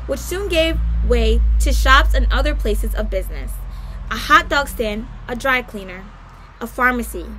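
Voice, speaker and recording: A woman's voice: high-pitched, bright, forceful tone, a little hiss or hum, low-quality recording. A woman reading a story aloud as a guest narrator, careful, with occasional stumbles and self-corrections.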